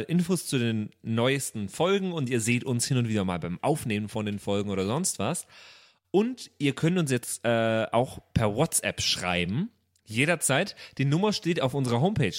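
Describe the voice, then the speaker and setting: A man hosting a game podcast, making casual, chatty announcements to listeners. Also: mid-range voice. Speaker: podcast host and player.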